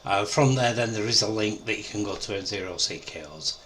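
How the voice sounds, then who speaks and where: A man presenting an informal amateur radio tutorial in a halting, step-by-step way. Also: lowish voice, a bit bright, slight background noise.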